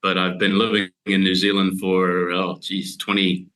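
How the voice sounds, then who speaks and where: American guy in his 50s, really low voice but bright, chatty science explainer. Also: low-quality recording. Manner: steady, measured, explains in detail with frequent "uh" pauses. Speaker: guest dairy scientist. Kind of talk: casual explanatory interview talk.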